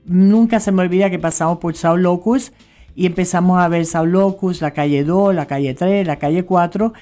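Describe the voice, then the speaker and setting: A woman's voice: lowish voice, normal speed, faint music behind. An older woman with a Puerto Rican Spanish accent talks as a radio guest. She tells her stories in a chatty way, often restarting her sentences. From Puerto Rico. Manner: chatty, warm storyteller with frequent restarts. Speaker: guest, notary public. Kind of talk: casual radio interview.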